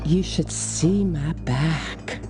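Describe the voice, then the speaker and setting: A woman's voice: low-pitched, drawn-out words, over music. A woman playing a bold, flirty female dwarf shield-maker in a cartoon adventure game, coy and suggestive. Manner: coy, suggestive, sugary pet names.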